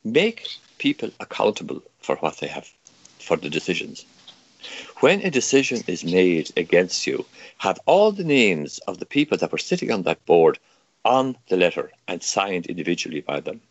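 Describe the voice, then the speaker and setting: A man's voice: sits low, sing-song, normal speed, husky, lo-fi sound. An older man from Kerry with an Irish accent, a native Irish speaker talking in English, arguing emphatically in an interview with many stammered restarts and rhetorical questions. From Ireland. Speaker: guest, campaigner. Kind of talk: impassioned interview argument.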